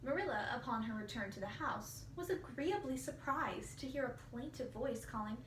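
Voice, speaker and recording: A woman narrates a literary story as a dramatic reader, with an expressive delivery. Her voice is fairly high and a bit distant, in an echoey room. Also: moderate pace. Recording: roomy, distant mic, faint hiss.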